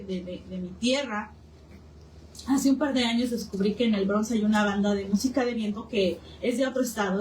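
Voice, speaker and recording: A woman's voice: a little high, darkish tone. A woman with a Mexican accent giving a spontaneous personal account as a participant in a community discussion. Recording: echoey room, distant mic, faint noise.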